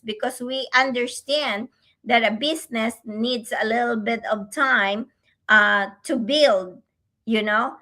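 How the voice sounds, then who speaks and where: Slow, bright-voiced woman, non-native English. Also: a little high. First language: not English. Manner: slow, halting, many fillers. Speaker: co-host. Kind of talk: casual livestream chat.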